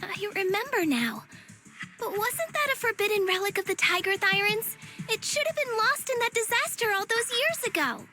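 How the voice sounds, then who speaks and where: An actress playing a small, earnest young tiger disciple in a video game, asking and objecting sincerely. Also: female voice, extremely high pitch, sing-song, normal speed, music in the background. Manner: earnest, questioning.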